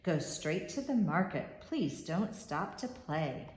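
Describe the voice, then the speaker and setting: A woman's voice: low-pitched, very animated, slow, darkish tone, reverberant, full character voice. A woman voicing a fussy storybook mother who firmly scolds and instructs her little daughter. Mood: firm reminding. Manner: chiding, instructive.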